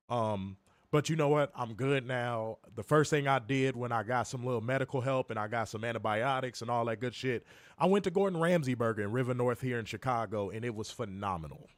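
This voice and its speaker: A young man co-hosting a wrestling review stream, hoarse from illness, cursing and joking straight to his viewers. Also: medium pitch, brisk, no room sound. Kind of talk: casual live-stream chat. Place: home, in Chicago.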